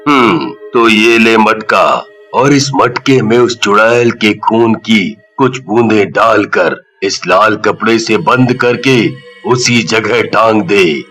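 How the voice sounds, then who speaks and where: A man voicing a stern, grave older holy man of the forest in a cartoon horror tale, speaking in a measured, admonishing way. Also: fairly low pitch, a bit bright, faint music behind. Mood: grave instructing.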